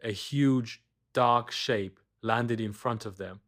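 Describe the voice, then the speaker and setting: A man's voice: mid-range voice, slow pace, a bit bright. A man reading a scripted children's bedtime story as the storyteller.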